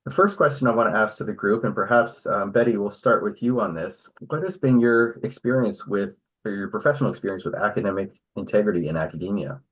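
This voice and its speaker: A man presenting and moderating an online webinar, explaining in a measured way and often checking in with "right?". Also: lowish voice, low-quality recording.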